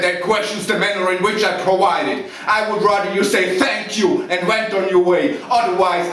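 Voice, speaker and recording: Guy doing an angry military officer, barking and scornful, raised pitch, big echo, bit far from mic. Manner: forceful, contemptuous, declamatory. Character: proud, furious, commanding marine officer. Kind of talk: dramatic courtroom monologue. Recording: very echoey room, distant mic, noise.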